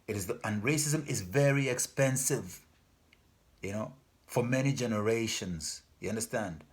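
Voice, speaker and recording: Earnest lowish-voiced man making an appeal. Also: animated, normal speed. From Denmark. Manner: earnest, repetitive, loses his thread with fillers. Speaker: festival organizer. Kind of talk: informal spoken appeal.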